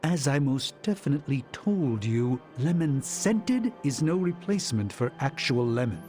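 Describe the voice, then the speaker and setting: An actor playing a pompous, exacting and erudite sorcerer in a video game, theatrical and precise. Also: male voice, medium pitch, sing-song, moderate pace, a little mellow, soft background music, close to an everyday voice. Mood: exacting, testy. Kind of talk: video game cutscene acting.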